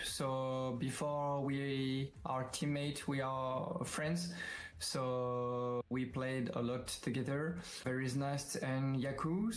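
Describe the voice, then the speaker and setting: French man answering haltingly, mid-pitched voice. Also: a little hiss or hum, poor audio. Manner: halting, non-native English phrasing. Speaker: esports player, team captain. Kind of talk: interview answers.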